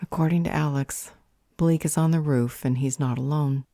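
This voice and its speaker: A woman playing a serious, analytical female magic user in an audio roleplay, with a measured, clinical delivery. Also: low voice, fairly even intonation, mellow, no room sound, clean studio sound. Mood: tense, focused.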